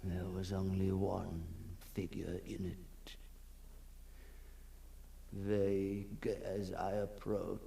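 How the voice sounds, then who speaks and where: An actor playing an anguished undead outcast in a radio horror monologue, theatrical and halting, moving between breathy whispers and cries. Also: male voice, low voice, completely flat delivery, warm tone, a little hiss or hum, heavily acted. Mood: hushed horror.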